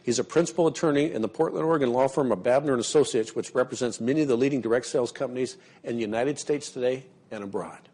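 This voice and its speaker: Man, mid pitch, seminar host, steady measured reading. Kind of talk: reading an article aloud, then introducing a speaker.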